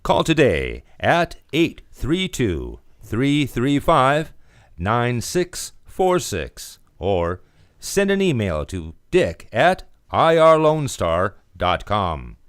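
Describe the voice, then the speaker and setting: Male commercial announcer, slightly low, animated scripted delivery, dry studio recording. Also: extremely slow, clear, resonant tone, no echo. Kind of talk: scripted radio advertising. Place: radio studio.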